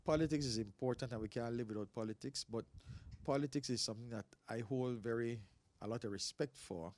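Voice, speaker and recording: A man in his sixties from Belize, a pastor telling stories in a relaxed interview, animated and quick to laugh. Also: medium pitch. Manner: animated storyteller, laughs often. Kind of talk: casual interview talk.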